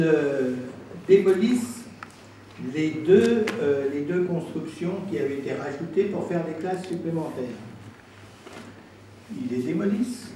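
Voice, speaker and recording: A man speaking as a council president, explaining himself in an insistent, deliberate way at a public meeting. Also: fairly high pitch, warm tone. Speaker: president of an intercommunal council answering questions. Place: indoor council meeting room. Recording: very echoey room, distant mic, faint noise.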